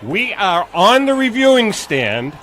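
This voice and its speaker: A man hosting comic live parade commentary on radio in an excitable, repetitive, playful manner. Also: high-pitched, measured pace. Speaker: radio show host. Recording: noisy live radio broadcast.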